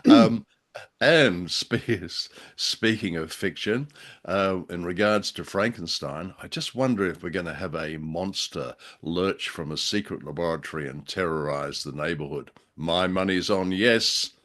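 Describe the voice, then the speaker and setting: A man with a low, deep voice co-hosts a podcast. He talks in a casual, conversational style. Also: measured pace, a bit bright. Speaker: podcast co-host. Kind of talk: casual chat.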